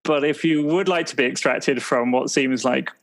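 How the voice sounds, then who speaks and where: A man's voice: mid-range voice, moderate pace, lightly acted. A man playing a wry, loyal secret agent who talks in a casual, rambling way in an improvised roleplay. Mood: supportive, wry. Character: aggrieved but loyal male secret agent, a husband.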